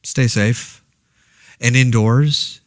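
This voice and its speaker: An actor playing a laid-back man podcasting alone through a zombie outbreak, rambling in a wry, deadpan, hesitant way. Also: medium pitch, extremely slow, dry recording, clean studio sound. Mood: resigned, friendly. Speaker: podcast host. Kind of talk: casual monologue, fictional audio diary.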